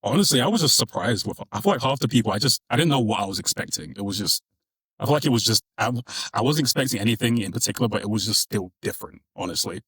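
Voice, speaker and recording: A man's voice: lowish voice, lively intonation, fast, fairly bright tone, slightly rough, dry recording, rough recording. A man who is a podcast guest, answering in an easygoing, casual way and saying "honestly" a lot.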